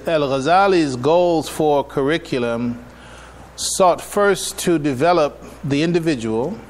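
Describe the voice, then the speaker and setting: A man giving a public religious lecture as an imam, speaking in a halting, deliberate way and often repeating his phrases. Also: medium pitch, a little hiss or hum. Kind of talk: public religious lecture, partly reading from notes. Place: live public address in a room.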